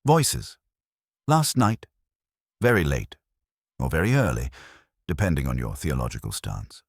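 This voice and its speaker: Man voicing pious male eccentric, pompous, grave, fussy, deep voice, lively intonation, audio mystery story. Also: a little slow, husky, dry recording, studio quality, exaggerated voice. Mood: grave, self-important.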